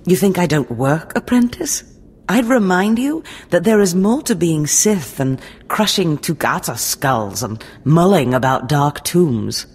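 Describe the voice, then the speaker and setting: Low-voiced, silky Sith lady. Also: animated, unhurried, a little mellow, slightly rough. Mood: smug approval. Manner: theatrical, condescending, mock-sweet. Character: poised, menacing female Sith lord, silky and condescending. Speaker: villainous mentor. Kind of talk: video game voice acting.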